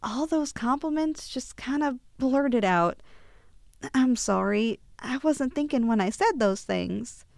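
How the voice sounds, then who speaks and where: A woman plays a sweet young woman in an ASMR roleplay, bashful and affectionate. Her voice sits high and she takes her time, hesitating softly between thoughts. The sound is close and very dry. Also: expressive, slow pace, gravelly, no room sound, full character voice. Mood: bashful, flustered. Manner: soft, hesitant, affectionate, sighing. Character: sweet, bashful young woman shrunk tiny, tenderly confessing feelings.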